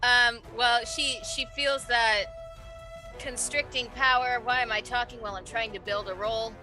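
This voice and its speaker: A woman's voice: on the high side, fairly even intonation, normal speed, bright, forceful tone, soft background music, poor audio. A woman playing a tabletop game, chatting informally and often interrupting herself. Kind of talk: casual, animated game chat.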